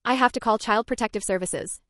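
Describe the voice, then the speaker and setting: A woman reading the lines of a calm, firm female police officer who speaks steadily and gently. The delivery stays close to the reader's own voice. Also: a little high, fairly quick, no echo, lightly acted. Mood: steady.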